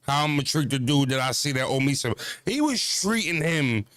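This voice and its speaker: A man's voice: fairly high pitch, very animated, normal speed, fairly bright tone, no echo. A man in his thirties talking casually to camera about battle rap and self-care, loose and profane, full of "you know what I mean.". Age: 30s. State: just worked out at the gym. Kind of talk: casual vlog talk to camera.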